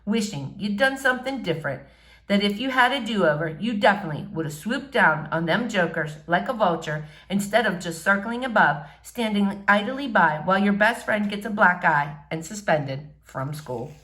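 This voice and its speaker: A woman reading a novel in verse aloud, expressively, in short measured phrases. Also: medium pitch, lively intonation, fairly bright tone. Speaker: audiobook-style reader.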